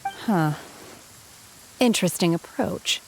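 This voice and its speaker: A woman's voice: on the low side, slow, creaky, slight background noise, rough recording. A woman voicing an aloof, reserved young woman in a video game, terse, hesitant and socially awkward. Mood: detached.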